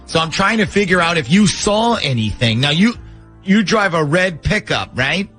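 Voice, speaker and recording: A man's voice: a little high, sing-song, normal speed, pushed and bright, soft background music. A man hosting a morning radio show, talking through a prank call segment.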